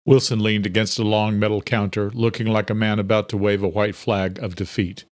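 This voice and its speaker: A man's voice: on the low side, little pitch movement, a little fast, dry recording, studio quality. A man reading scripted narration from a thriller audiobook as its narrator.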